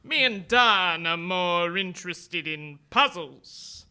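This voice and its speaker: An actor playing a smug, taunting 1940s gangster in a radio crime drama. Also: male voice, high-pitched, lively intonation, takes it slowly, bright, forceful tone, clean tone, heavily acted. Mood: smugly menacing. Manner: mocking, taunting. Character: smug, menacing 1940s male gangster in zoot suit.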